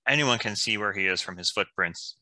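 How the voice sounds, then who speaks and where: A man playing an upbeat, earnest archer who motivates his team, in an animated fantasy table read. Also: lowish voice, fairly quick, no echo, low-quality recording. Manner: encouraging, chipper.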